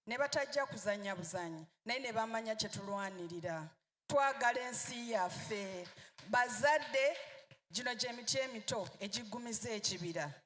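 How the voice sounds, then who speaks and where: A woman's voice: a little high, a bit bright, slight background noise. Woman teacher speaking in a reverberant hall. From United States. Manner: earnest, pleading with parents. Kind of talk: addressing a convention crowd.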